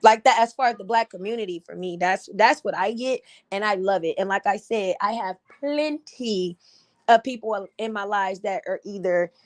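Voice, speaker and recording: A woman with a slightly raspy voice hosting a casual livestream, giving blunt, animated commentary with frequent laughs. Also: medium pitch, normal speed. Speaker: livestream host.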